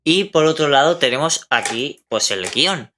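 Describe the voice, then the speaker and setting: A man's voice: on the high side, lively intonation, measured pace, sharp tone, slight background noise. A man with a Castilian Spanish accent, an amateur dubber talking casually to camera. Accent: Castilian Spanish from Spain. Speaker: amateur dubber and video creator.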